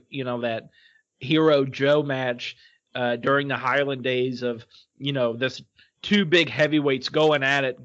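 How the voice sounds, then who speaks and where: A man's voice: mid-range voice, fairly bright tone, muffled recording. A man co-hosting a podcast, recapping casually in a loose, rambling way full of fillers. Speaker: podcast co-host. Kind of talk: casual podcast chat recapping a wrestling show.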